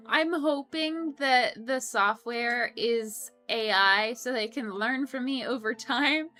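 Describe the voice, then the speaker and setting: A young woman streamer chats at her desk mic and reads out viewer comments. Her voice sits a bit high and sounds bright and clear. She laughs easily and fills gaps with ums and restarts, with faint music playing behind her. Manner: chatty, laughs often, many ums and restarts. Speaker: streamer hosting a coworking stream. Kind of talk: casual chat reading viewer comments.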